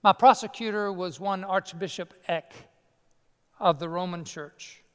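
Here is Martin Luther, voiced by a pastor, an earnest old monk speaking with a Southern US accent. The voice sits high and smooth, folksy and self-deprecating. It rings through a church sanctuary with a long reverb. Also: male voice, unhurried. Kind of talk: costumed sermon monologue.

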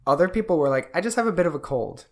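A man's voice: fairly high pitch, lively intonation, racing. A man co-hosting a podcast, explaining in a casual chat with many fillers. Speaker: podcast co-host.

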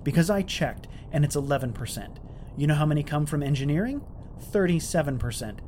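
A man's voice: fairly high pitch, expressive, fairly quick, a little mellow, lightly acted. A man playing a competitive, sarcastic starship engineering lieutenant in an audio drama, argumentative and matter-of-fact. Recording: clear ship ambience noise behind.

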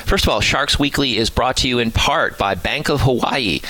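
A man hosting a sports talk radio interview in a conversational, halting way with restarts. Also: mid-range voice, pushed and bright, poor audio. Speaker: radio show host.

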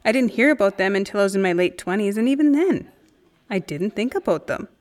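A woman's voice: mid-range voice, lively intonation, a little fast, darkish tone, natural voice. A woman acting in an audio drama as a candid, self-mocking friend chatting casually. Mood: reflective. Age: adult. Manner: casual, wry, frank. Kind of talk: naturalistic audio drama dialogue.

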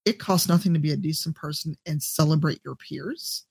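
A woman with a deep voice, a podcast guest and streamer giving blunt, profane advice in casual chat. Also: a little fast, gravelly, darkish tone, slightly rough.

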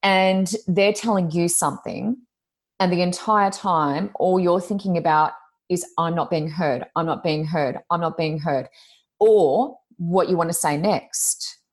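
Low-voiced, loud, expressive woman. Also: a little slow. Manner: loud, expressive, self-reflective. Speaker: podcast co-host, coach. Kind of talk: casual chat.

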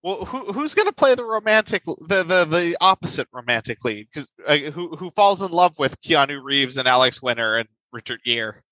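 A man's voice: fairly high pitch, sing-song, moderate pace, hardly any pauses, sharp tone, poor audio. A man improvising a screenwriter in a comedy scene, flustered and stammering with nerves.

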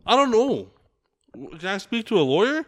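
A man's voice: high voice, big pitch swings, moderate pace, dry recording. A man playing a stammering, evasive suspect. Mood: defensive. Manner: hesitant, stammering, defensive. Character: hungry, evasive young male suspect.

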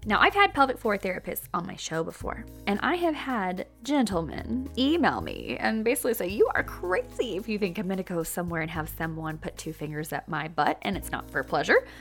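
A woman's voice: mid-range voice, sing-song, a little fast, hardly any pauses, fairly bright tone, faint music behind. A woman hosting a podcast, speaking frankly and casually while sounding congested from a cold. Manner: frank and candid, swears casually. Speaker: podcast host. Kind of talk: casual podcast intro monologue.

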